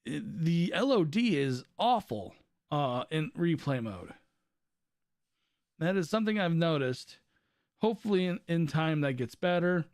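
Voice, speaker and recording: A man's voice: fairly high pitch, sing-song, unhurried, darkish tone, dry recording, studio quality. A man with a voice worn from overuse, rambling casually through live gameplay commentary and often correcting himself. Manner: rambling, self-correcting chatter. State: losing his voice. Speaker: live streamer.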